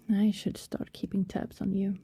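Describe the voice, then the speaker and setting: A woman playing an affectionate, wistful vampire neighbour in an intimate ASMR roleplay, speaking softly and tenderly, often in a hesitant whisper. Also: medium pitch, monotone, no echo. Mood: wistful, protective. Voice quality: soft, breathy, often whispered. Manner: slow, tender, hesitant murmuring.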